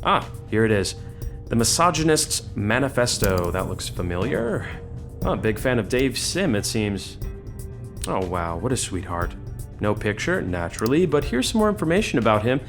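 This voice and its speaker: An actor playing a playful, cocky computer hacker in an audio drama, with flippant, bantering delivery. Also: male voice, on the low side, very animated, moderate pace, soft background music. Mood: wry, sardonic. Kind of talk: audio drama dialogue acting.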